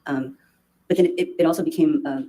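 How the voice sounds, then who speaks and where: A woman testifying as a witness in court, speaking haltingly with many restarts and fillers. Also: low-pitched, fairly even intonation, dark tone, rough recording. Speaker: trial witness.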